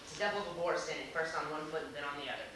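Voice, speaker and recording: A man playing a polite, anxious gentleman in an amateur stage comedy, hesitant and halting, with formal old-fashioned phrasing. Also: a little high, steady tone, natural voice. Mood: nervous, apologetic. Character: flustered, polite, anxious gentleman waiting for a masked date. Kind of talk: amateur stage acting in a comic one-act play. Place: small theater stage, recorded from the audience. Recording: echoey theater, distant camera mic, hissy noise.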